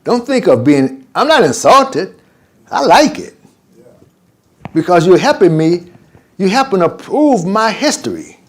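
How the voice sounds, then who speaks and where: Animated older imam. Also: male voice, high-pitched, a little slow. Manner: animated and digressive, acts out conversations. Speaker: imam teaching a class. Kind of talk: informal religious lesson.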